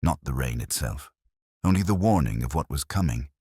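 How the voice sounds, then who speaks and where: A man reading a scripted first-person mystery story in a measured, literary and brooding manner. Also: rumbling low, expressive, mellow, slightly rough, no room sound, clean studio sound. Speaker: narrator.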